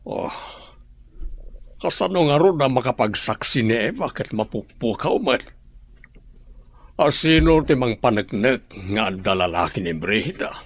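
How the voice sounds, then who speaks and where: A gravelly, bitter hospital patient. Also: male voice, medium pitch, animated, a little slow, long pauses. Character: bitter man recovering in hospital. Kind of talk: radio drama acting.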